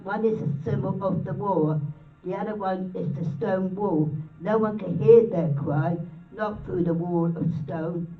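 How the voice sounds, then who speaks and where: A woman reading her own poem aloud in a halting way, often repeating words and phrases. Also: medium pitch. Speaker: poet reading own work at a public event. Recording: roomy, distant, muffled, faint music behind.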